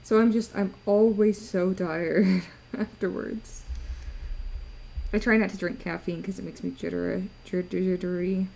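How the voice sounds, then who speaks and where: Tired, gravelly young woman streamer. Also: fairly low pitch, little pitch movement, measured pace, dark tone. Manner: chatty, teasing viewers, laughs often. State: tired, voice breaking. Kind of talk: casual chat while drawing on stream. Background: faint music.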